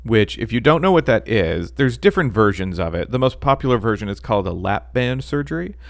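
A man co-hosting a podcast in casual chat, talking haltingly with many ums and restarts. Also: mid-range voice, big pitch swings, gravelly, no echo, studio quality. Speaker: podcast co-host.

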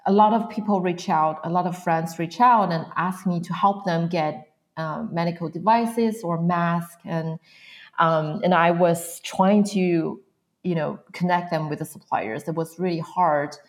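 A woman from northern China answers interview questions in English, her voice sitting a little low. She is in her thirties and fills her sentences with frequent "um"s, laughing softly now and then. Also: a little slow. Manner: frequent "um" fillers, soft laughs. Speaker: guest.

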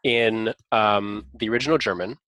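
A man's voice: fairly low pitch, steady tone, normal speed, bright, forceful tone, no echo. A man hosting a podcast and chatting casually, rambling with frequent restarts and light laughs. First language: English. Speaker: podcast host.

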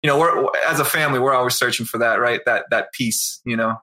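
Laid-back young singer. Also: male voice, mid-range voice, fast, bright, forceful tone. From outside Boston, Massachusetts. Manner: laid-back, rambling, full of "you know" and "right?". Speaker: guest, singer. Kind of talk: casual interview chat.

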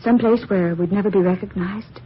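An actress playing an anxious, devoted sweetheart with earnest pleading in old-time radio melodrama style. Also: female voice, mid-range voice, steady tone, fairly quick, lightly acted. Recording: muffled, faintly noisy vintage radio recording.

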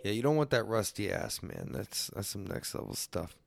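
A man's voice: fairly low pitch, huge pitch swings, normal speed, vocal fry, dry recording. A man hosting a crude solo comedy podcast, rambling loosely and swearing freely. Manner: profane, loose and rambling, mock-defensive. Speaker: podcast host.

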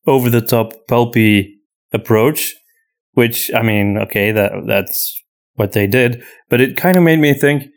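A man talking casually and unscripted as a podcast host, often halting and repeating himself as he searches for words. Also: medium pitch. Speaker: podcast host and story writer answering listener questions.

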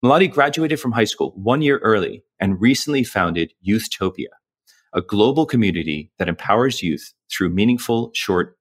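A man hosting a virtual event, reading a guest introduction and then asking somewhat halting questions. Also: sits low. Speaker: event host and interviewer.

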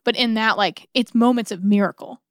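A woman's voice: a little high, a little fast, no room sound. Dry-sounding woman reflecting on faith. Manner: reflective, conversational, frequent "like". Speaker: podcast co-host. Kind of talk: casual religious discussion.

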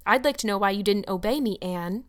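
A woman's voice: medium pitch, brisk, lightly acted. A woman voicing a stern, brusque older spinster who scolds in a clipped way. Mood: scolding.

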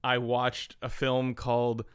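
A man's voice: mid-range voice, monotone, takes it slowly, clear, resonant tone. A man co-hosting a podcast in casual banter, with a playful, giggly, jokey manner. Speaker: podcast co-host. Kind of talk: casual banter between co-hosts.

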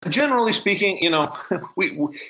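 A man's voice: high voice, lively intonation, normal speed, bright, forceful tone. A middle-aged man answering interview questions in a casual, explanatory way. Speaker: interview guest, member of a hobbyist society.

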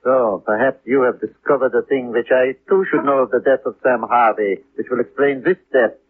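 An actor playing a formal, insistent German police official in a 1950s radio drama, with a German accent and stilted, inverted phrasing. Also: male voice, medium pitch, steady tone, moderate pace, lightly acted. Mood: probing. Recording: muffled, noisy 1950s radio recording.

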